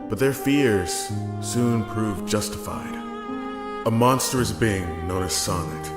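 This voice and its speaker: A fairly low male voice, wrapped in roomy echo, narrates an ancient fantasy legend. He speaks gravely and formally, as a scripted storyteller, while loud instrumental music plays beneath him. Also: slow.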